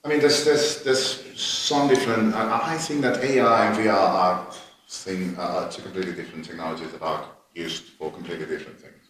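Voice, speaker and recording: A man's voice: sits low, fairly even intonation, a bit bright, slight background noise. Man, echoey room. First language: Catalan. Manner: halting, many restarts and repeated words. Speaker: panellist. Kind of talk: panel discussion. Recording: echoey gallery room, distant mic.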